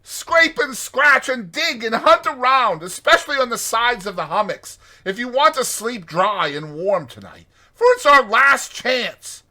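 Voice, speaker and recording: A man voicing an excitable, bossy male water rat from a storybook, scolding and exclaiming emphatically. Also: very high voice, measured pace, hardly any pauses, pitched above the performer's own voice, full character voice. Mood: angry.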